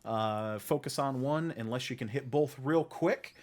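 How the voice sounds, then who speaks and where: A man's voice: medium pitch, a little slow. A man hosting a podcast, casually moderating the conversation among friends. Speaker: podcast host.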